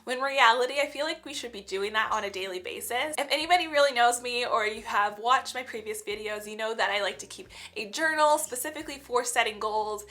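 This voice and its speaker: A woman's voice: fairly high pitch, sharp tone. A young woman with a stuffy, cold-blocked voice, talking in an earnest, chatty way full of "like" fillers in a reflective vlog. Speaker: vlogger. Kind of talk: casual reflective vlog talk.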